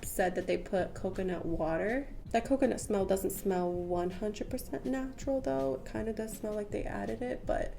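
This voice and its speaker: A woman's voice: medium pitch, moderate pace, gravelly, hardly any pauses, mellow, faint music behind. Congested young woman chatting through a makeup tutorial. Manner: chatty, rambling, with many "um" and "like" fillers. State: congested from a cold. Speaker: beauty vlogger. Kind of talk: casual makeup tutorial chat. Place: small room at home, patterned wallpaper behind. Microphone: camera at close range, head and shoulders.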